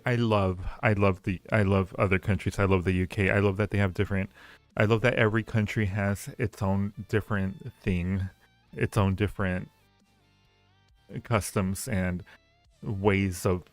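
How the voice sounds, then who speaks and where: A man's voice: on the low side, gravelly, no echo. A man hosting a casual livestream, teasing and rambling playfully as he ranks things and repeats his phrases. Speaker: livestream host. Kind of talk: casual livestream chat and ranking commentary.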